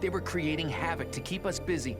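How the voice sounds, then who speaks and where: Game squad leader, male actor as teen turtle: determined, measured, slightly high voice, music behind. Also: close to an everyday voice. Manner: measured, commanding. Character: serious, determined teenage mutant turtle leader.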